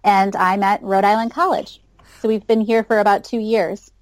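A woman's voice: medium pitch, fairly even intonation, normal speed, no room sound. An American woman in her thirties giving casual answers as an interview guest. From United States, north of Chicago. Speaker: guest, psychology professor.

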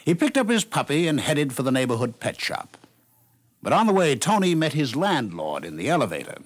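A man narrating a scripted story for 1950s radio, with measured phrasing and dramatic pauses. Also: on the high side, very animated, no room sound. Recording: noisy 1950s radio broadcast recording.

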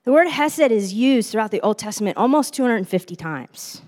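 A woman's voice: a little high, expressive, moderate pace, a little mellow. Earnest young woman teaching in a hall. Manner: earnest, reflective, with frequent restarts. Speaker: speaker giving a church talk. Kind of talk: teaching sermon.

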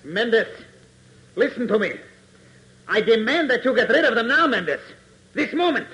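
A man's voice: very high voice, very animated, normal speed, pushed and bright, full character voice, low-quality recording. A man playing a ruthless, demanding villain in a 1940s radio serial, formal and melodramatic. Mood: agitated demanding. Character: agitated, ruthless, insolent male villain, scheming and demanding. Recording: hissy 1940s radio transcription.

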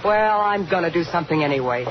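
An actress playing a devoted, pleading sweetheart in a 1950s radio suspense drama, tender, coaxing and insistent. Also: female voice, lowish voice, animated, quick pace, background noise, natural voice. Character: devoted, pleading young sweetheart of a doomed man. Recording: muffled old radio recording with music.

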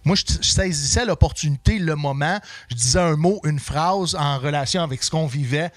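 A man's voice: on the high side, normal speed, dry recording. A man, a comedian guest on a casual podcast, often repeating words as he searches for what to say. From Canada.

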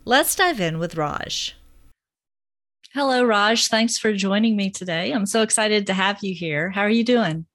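A woman's voice: medium pitch, animated, a little slow, fairly bright tone. A woman hosting a podcast, reading an upbeat intro and asking friendly interview questions. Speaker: podcast host.

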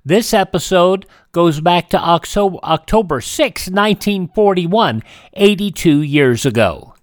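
A man's voice: high voice, animated, measured pace, no room sound. Dry-sounding American male radio host. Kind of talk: scripted presenting of classic radio shows.

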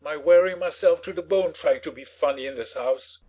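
Man playing a blustering, husky-voiced storybook father. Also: fairly high pitch, normal speed, dark tone, highs cut off, natural voice. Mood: bitter self-pity. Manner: theatrical, indignant, emphatic. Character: blustering, self-pitying father, petulant and pompous. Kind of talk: dramatized storybook reading.

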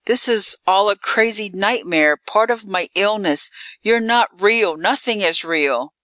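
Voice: medium pitch, a little slow, bright, forceful tone, no room sound, pitched above the performer's own voice. A narrator voicing a horrified young American man turned vampire, crying out in desperate protest. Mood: desperate denial.

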